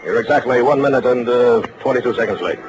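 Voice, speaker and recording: An actor playing a pompous, impatient business tycoon who talks briskly and bossily in an old radio drama. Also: male voice, medium pitch, close to an everyday voice. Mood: pompous scolding. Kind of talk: 1940s radio drama acting. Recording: noisy old radio recording.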